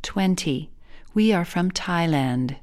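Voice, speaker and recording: A woman teacher reading a scripted repeat-after-me language drill in short, deliberate, clearly separated phrases. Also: fairly low pitch, expressive, a little mellow.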